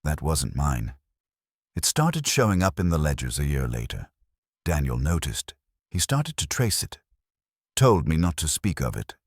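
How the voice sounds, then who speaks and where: A man's voice: rumbling low, huge pitch swings, unhurried, no room sound, clean studio sound, full character voice. A man voicing a guarded, guilt-ridden woman in an audiobook, subdued and confiding in a resigned way. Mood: guarded, regretful. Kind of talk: audiobook character dialogue.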